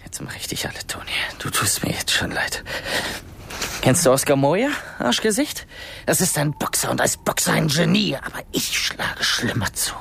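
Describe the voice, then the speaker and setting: An actor playing a defiant, foul-mouthed teenage boy in a radio drama, husky and breathy, with an insolent, taunting manner. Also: on the high side, big pitch swings, normal speed, bright, forceful tone, whispered, full character voice. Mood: cocky defiance.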